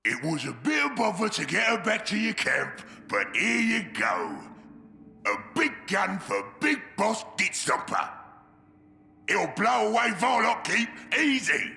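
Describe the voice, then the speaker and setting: Gravelly Cockney ork warboss, loud and swooping. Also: male voice, high-pitched, huge pitch swings, a little slow, full character voice. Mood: boastful, bossy. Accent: British, Cockney-style. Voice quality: gravelly, rough. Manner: blustering, sly, rough working-class speech. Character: cunning, brutish male ork warboss, scheming and sarcastic. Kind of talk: video game character acting. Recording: long echoing reverb, clear background noise.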